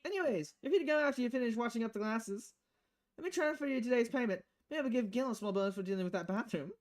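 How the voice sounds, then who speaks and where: A woman's voice: on the high side, lively intonation, fast, a little mellow, close to an everyday voice. A woman voicing an easygoing bar boss in a visual novel. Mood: breezy. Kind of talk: visual novel dialogue read aloud.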